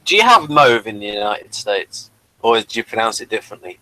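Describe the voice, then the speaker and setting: A man's voice: fairly low pitch, big pitch swings, brisk, sharp tone, poor audio. A man chatting casually as co-host of a review show.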